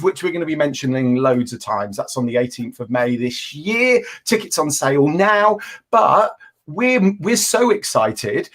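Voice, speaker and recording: A man's voice: medium pitch, a bit bright. A man hosting a live stream who talks in a bubbly, enthusiastic way, with frequent stumbling restarts. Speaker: host.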